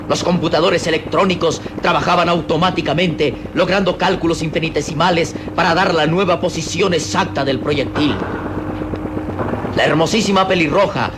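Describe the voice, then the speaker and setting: A man's voice: fairly high pitch, noisy background. A man narrating a radio serial in a solemn, suspenseful and emphatic dramatic style.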